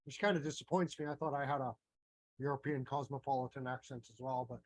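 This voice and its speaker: A man's voice: medium pitch, fairly even intonation, quick pace, no echo. Rambling Canadian man, dry sound. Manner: meandering, thinks aloud with many fillers and restarts. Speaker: astrology teacher. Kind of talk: casual rambling talk.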